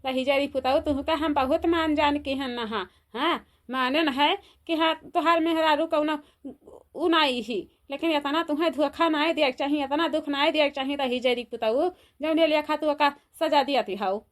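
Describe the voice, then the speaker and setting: A woman as a scolding, high-pitched village mother-in-law. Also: moderate pace, lightly acted. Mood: bitter reproach. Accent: Awadhi. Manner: scolding, repetitive rhetorical questions. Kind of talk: comedy cartoon voice acting.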